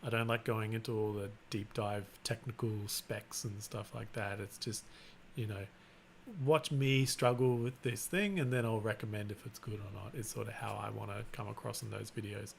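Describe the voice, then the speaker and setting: A man hosting a live stream, chatting casually in a flat, rambling way and often restarting his sentences. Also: fairly low pitch, moderate pace, creaky, slight background noise. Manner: self-described monotone, rambling with restarts. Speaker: live-stream host and content creator.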